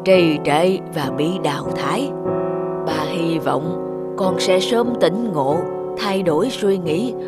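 Low-voiced wise cartoon father, a woman acting. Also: over loud music. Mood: gently admonishing. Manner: measured, admonishing. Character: wise, stern grey-haired middle-aged father. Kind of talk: cartoon dialogue.

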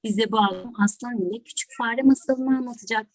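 High-voiced woman host, dry close sound. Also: fairly quick, a little mellow, no echo. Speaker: host and teacher. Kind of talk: hosting an online storytelling event. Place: online video call.

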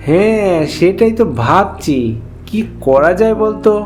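Guy doing a nervous pleading village schoolboy, high cartoon voice, bit of music behind. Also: expressive, a little slow. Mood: worried. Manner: hesitant, pleading. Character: timid, wheedling village schoolboy.

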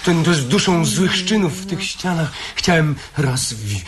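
Slow, high-voiced rebellious young man. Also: lively intonation, a crowd in the background. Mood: defiant, anguished. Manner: halting, anguished, repeats words emphatically. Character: rebellious, worn-out young son of bourgeois family. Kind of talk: 1970s Polish radio play acting.

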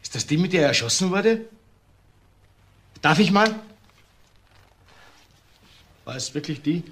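A man's voice: fairly high pitch, animated, a little slow, a bit bright. A man playing a defiant murder suspect in a German crime radio play, speaking in blunt short sentences. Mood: defensive.

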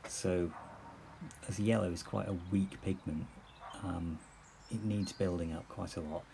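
A man in his fifties explaining his painting outdoors in a sunny churchyard, relaxed and explanatory, with many fillers. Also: deep voice, measured pace, background noise. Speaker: painting instructor. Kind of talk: plein air painting demonstration. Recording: outdoor recording with clear birdsong. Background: birdsong and outdoor ambience.

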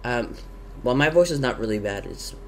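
A man's voice: mid-range voice, animated, moderate pace, vocal fry, a bit bright, a little hiss or hum. A young man with a sore throat and sniffles, chatting casually and thinking aloud with lots of fillers while he plays a game, talking into a headset at home. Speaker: gaming YouTuber. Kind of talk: casual let's-play commentary. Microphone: gaming headset.

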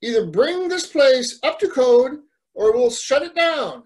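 High-pitched, stern woman as safety inspector. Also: sing-song, unhurried, darkish tone. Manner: indignant, formal. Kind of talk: amateur table read of a cartoon comedy.

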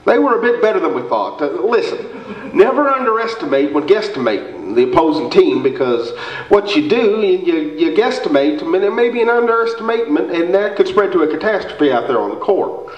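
A man's voice: sits high, sing-song, a little fast. Guy doing a folksy muddled coach in a radio play, blustery, kinda muffled and echoey in a hall. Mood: earnest lecturing. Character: folksy, muddled, blustering small-town boys' basketball coach. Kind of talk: staged old-time radio comedy acting. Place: indoor theater stage before an audience. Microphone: stand mic, heard through far camera. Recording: echoey stage hall, distant muffled camera audio.

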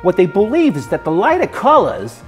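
A man's voice: sits high, wildly swooping pitch, moderate pace, faint music behind. A man in his forties hosting a prank, posing as a safety inspector and lecturing warehouse workers in a rambling, mock-serious way inside a large warehouse. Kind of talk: improvised deadpan prank bluster.

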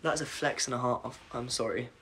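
A young man with a croaky voice chatting casually on a phone livestream from his bedroom at night, reading comments aloud and apologizing often. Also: mid-range voice, steady tone, normal speed, noisy background. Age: young adult. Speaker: livestream host. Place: dim bedroom at night, indoors. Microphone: phone camera at arm's length.

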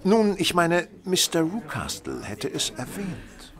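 An actor playing a shrewd, commanding detective in a radio drama, speaking formally and articulately with a wryly superior manner. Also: male voice, on the high side, wildly swooping pitch, slow, a bit bright, heavily acted. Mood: politely probing. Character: shrewd, commanding male detective, observant and mildly condescending.

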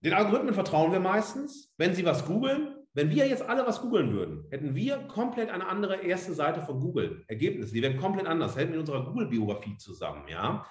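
A man's voice: a little high, sing-song, fast, hardly any pauses. A middle-aged man giving an academic lecture online as a professor, emphatic, with rhetorical questions and frequent tag words. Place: indoor room, on a webcam video feed. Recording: roomy, echoing indoor sound.

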